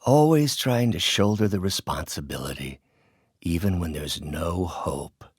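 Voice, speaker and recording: A man's voice: low voice, animated, measured pace, husky, no echo, clean studio sound, heavily acted. A male actor voicing a brilliant, bitter middle-aged scientist turned vengeful villain in a video game, with articulate, theatrical delivery. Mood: gentle, weary. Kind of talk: dramatic video game acting, isolated voice lines.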